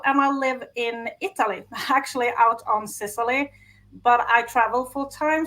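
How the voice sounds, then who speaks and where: A woman's voice: fairly high pitch, sharp tone, poor audio. A woman from Sweden with a Swedish accent, talking casually as a podcast guest about her work and her story, laughing now and then. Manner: chatty, laughs while telling her story. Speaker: guest, business strategist. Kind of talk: casual interview talk.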